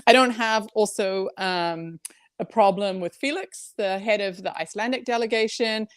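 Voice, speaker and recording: A woman from Germany talking over a video call, opinionated and chatty, with a mid-range voice. She laughs often and fills pauses with "um" and "like." The sound is dry and close. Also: mid-range voice, clean tone, no room sound. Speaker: co-host guest commentator. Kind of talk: casual video call chat.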